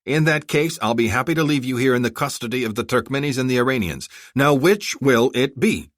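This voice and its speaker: A man voicing a gruff, curt and menacing soldier in an armored suit, in an audiobook. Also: medium pitch, lively intonation, brisk, fairly bright tone, no room sound, studio quality, voice pushed up, lightly acted. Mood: angry, menacing. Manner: curt, commanding.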